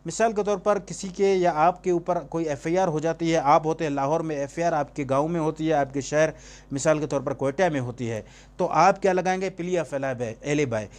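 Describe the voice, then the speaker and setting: Male lecturer, Pakistani accent, voice a bit on the high side. Informal, didactic exam coaching built on rhetorical questions, with English terms mixed in. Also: normal speed. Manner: didactic, poses questions and answers them himself; switches between Urdu and English terms. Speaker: law teacher.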